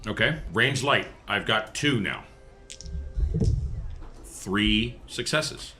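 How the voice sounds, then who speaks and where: Bright, low-pitched man playing a tabletop game. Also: drawn-out words. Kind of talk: casual game chat. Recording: noisy room recording.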